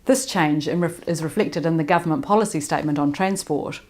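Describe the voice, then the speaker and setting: Slow, low-voiced New Zealand woman presenting. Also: a little mellow. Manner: slow, deliberate delivery. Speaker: conference speaker. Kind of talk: prepared presentation read by remote video. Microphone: recorded remotely, not in person.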